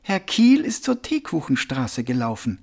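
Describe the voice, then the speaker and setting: A man voicing a cool, sharp-tongued young streetwise woman in an audiobook, with a dry, mocking, brisk delivery. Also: medium pitch, huge pitch swings, vocal fry, full character voice. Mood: flippant.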